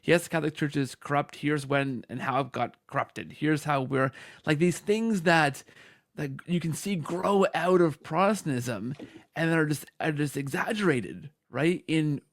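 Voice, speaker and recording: A man's voice: on the high side, moderate pace, no echo, clean studio sound. A man hosting a conversational interview podcast, talking emphatically and often checking in with "right?". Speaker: podcast host.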